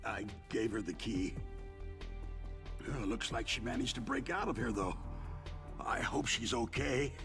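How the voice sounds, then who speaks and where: An actor playing a battered, rattled older arms-industry boss held prisoner in a video game, stammering in a strained, gravelly voice. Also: male voice, medium pitch, natural voice. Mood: weary. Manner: halting, stammering, agitated. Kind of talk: video game cutscene acting. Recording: game audio with music underneath. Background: cutscene music.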